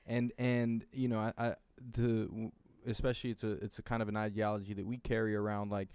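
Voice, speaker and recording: Male host, smooth tone, halting and affirming, dry close mic. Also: fairly low pitch, level tone, darkish tone, no room sound. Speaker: podcast host. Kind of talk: casual interview conversation.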